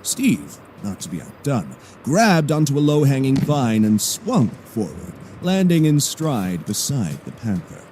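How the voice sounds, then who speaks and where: A man's voice: medium pitch, huge pitch swings, unhurried, few pauses, noisy background. A man narrating an action audio drama from a script in a dramatic storytelling style.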